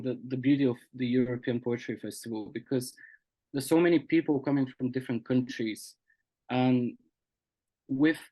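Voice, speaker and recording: A man's voice: mid-range voice, dark tone, low-quality recording. Earnest young man from Bulgaria. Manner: earnest, reflective. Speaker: guest poet. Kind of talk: interview answers.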